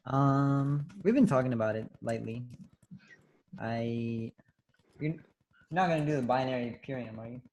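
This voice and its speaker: A young man talks from his living room into a desktop mic on a video call. He is relaxed and unhurried, leaning on fillers as he thinks aloud, his voice sitting at an ordinary middle pitch with a faint hiss underneath. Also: dull audio. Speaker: new business recruit. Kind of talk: casual video call chat. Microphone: desktop studio mic on video call.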